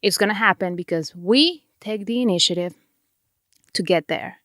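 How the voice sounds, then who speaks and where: A middle-aged woman with a Mexican Spanish accent speaks in an earnest, heartfelt way. She often slows down to stress a point. Her voice is close and dry, with no room sound. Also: medium pitch, a bit bright, dry recording. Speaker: podcast host. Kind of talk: reflective motivational monologue.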